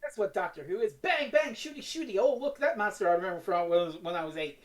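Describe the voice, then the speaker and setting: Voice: mid-range voice, animated, fast talker. A co-host trading casual banter in a teasing, playful manner. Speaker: podcast co-host.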